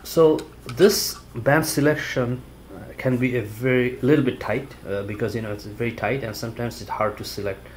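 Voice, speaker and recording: A man's voice: mid-range voice, a little hiss or hum. A plainspoken man reviewing a gadget at home. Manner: halting, plainspoken, many fillers. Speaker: product reviewer. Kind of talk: casual product review. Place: living room at home, indoors.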